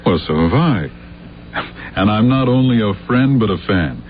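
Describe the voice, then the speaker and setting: Actor as low-voiced wry friend on tinny radio. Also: male voice, animated, normal speed, close to an everyday voice. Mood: friendly. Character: loyal, skeptical professional friend, practical and wry. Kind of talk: 1970s radio drama acting. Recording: tinny, hissy old radio recording with hum.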